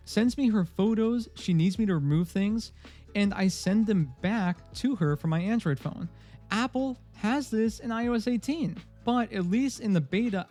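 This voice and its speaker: A man with a high but mellow voice talks through a tech review in an upbeat, emphatic way. He leans hard into the features he loves. Soft music plays faintly underneath. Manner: upbeat and emphatic, openly gushing. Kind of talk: scripted tech review voice-over.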